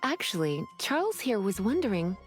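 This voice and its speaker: A woman's voice: medium pitch, sing-song, moderate pace, faint music behind, lightly acted. A woman voicing a poised, teasing, coy charmer in an anime-style video game.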